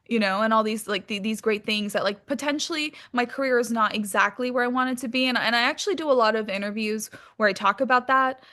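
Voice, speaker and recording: Bright, high-voiced woman chatting candidly. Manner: candid, informal, freely swearing. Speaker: interview guest, actress. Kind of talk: casual interview chat.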